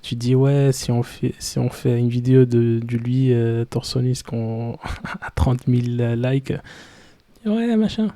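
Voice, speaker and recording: A man streaming live in French, which is not his first language, chatting loosely and haltingly while he sets up. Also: medium pitch, dark tone. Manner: halting, loose, talks to himself while setting up. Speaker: streamer reacting to videos. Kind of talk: casual live-stream chat.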